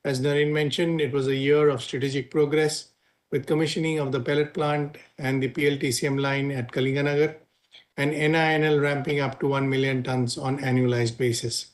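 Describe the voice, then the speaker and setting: Indian guy exec reading out company results, formal and measured, mid pitch. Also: flat delivery. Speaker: company finance executive. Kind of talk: prepared earnings call remarks.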